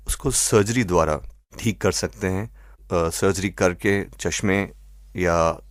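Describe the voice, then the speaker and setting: A man with an Indian accent answering as an expert doctor in an interview, measured and methodical, mixing in English phrases. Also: sits low, no echo, clean studio sound. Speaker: eye doctor, expert guest.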